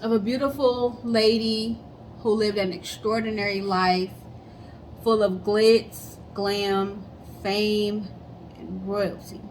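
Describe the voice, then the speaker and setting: A woman's voice: medium pitch, little pitch movement, takes it slowly, slight background noise, poor audio. A woman co-hosting a casual chat and storytelling show in an upbeat, friendly way. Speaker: co-host.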